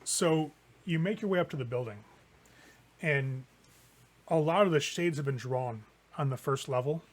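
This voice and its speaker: Male game master, higher register, conversational horror narration for a tabletop game. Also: normal speed. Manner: casual, conversational improvised narration with swearing.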